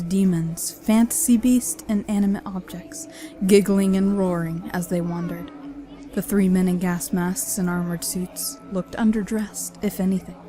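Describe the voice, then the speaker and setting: Woman reading a scary story like an audio drama narrator, medium pitch, music playing behind her. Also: warm tone. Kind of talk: scripted horror story narration.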